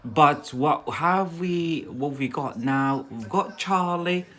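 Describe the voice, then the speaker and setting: A man's voice: fairly high pitch, takes it slowly, a bit bright. A young man from Algeria, whose first language is Algerian Arabic, hosts an English-learning live stream in a casual, rambling way with many fillers and frequent laughs.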